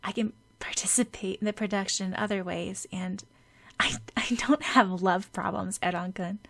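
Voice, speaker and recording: A woman puts on a sweet schoolgirl for a story reading. She comes across as kind and sincere, with a bright, girlish voice. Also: medium pitch, fairly even intonation, normal speed, vocal fry. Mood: downcast.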